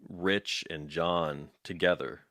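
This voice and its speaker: Male interviewer. Very deep bass pitch, dry close sound, casual conversational delivery. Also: steady tone, slow, a bit bright, dry recording.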